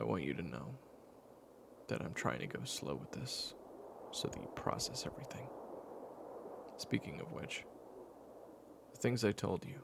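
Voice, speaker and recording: A man playing a weary, patient caretaker in an intimate audio roleplay, speaking in a hushed, hesitant way. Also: noisy background, exaggerated voice. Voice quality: very low gravelly voice. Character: weary, patient man caring for an amnesiac companion, retelling their past.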